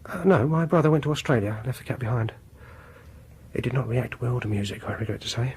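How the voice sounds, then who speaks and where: An actor in a British radio horror drama playing a fussy, awkward, quietly intense music lover, with a British accent and a prim, hesitant, stammering way of talking. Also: male voice, medium pitch, brisk, husky. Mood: prim, reminiscing.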